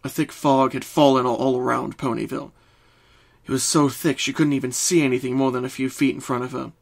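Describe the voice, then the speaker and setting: A man's voice: mid-range voice, fairly even intonation, fairly quick, creaky. Man narrating a dramatic story. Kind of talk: dramatic reading, scripted narration.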